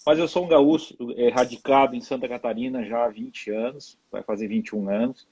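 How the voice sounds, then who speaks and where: A middle-aged man from Rio Grande do Sul with a gaúcho accent, speaking as a guest on a video-call live stream in a warm but halting way. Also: mid-range voice, steady tone, slightly rough. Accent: gaúcho Brazilian Portuguese. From Rio Grande do Sul, Brazil.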